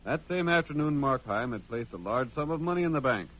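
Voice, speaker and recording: A man's voice: mid-range voice, expressive, fairly quick, a bit bright, poor audio. An American man narrating a radio western as announcer in a scripted old-time style.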